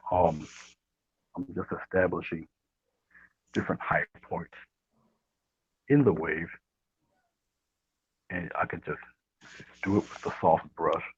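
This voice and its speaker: A man with a low, husky voice giving a casual painting demonstration, speaking softly and often trailing off into a murmur. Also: steady tone, slow, pauses often. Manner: soft, murmuring, trails off near whisper. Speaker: guest painting instructor. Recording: dull, roomy livestream audio.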